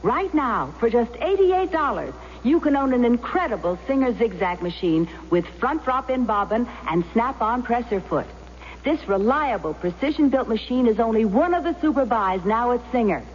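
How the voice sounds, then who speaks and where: A woman announcing a scripted 1970s radio commercial as a sales pitch. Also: big pitch swings, moderate pace. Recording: muffled echoing old radio, faint hum.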